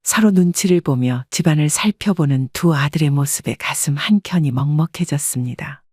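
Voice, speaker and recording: A woman giving scripted first-person narration in an even, wistful storytelling manner. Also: low voice, normal speed, breathy edge, no room sound, clean studio sound. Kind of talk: scripted audio drama narration.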